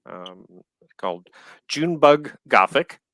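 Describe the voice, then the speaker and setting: A man in his sixties from Texas with a Southern accent, an author answering interview questions in a live video chat, unhurried, pausing on ums, with dry humor. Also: mid-range voice, sing-song, a bit bright, no echo. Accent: Southern American. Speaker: author guest. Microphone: video call.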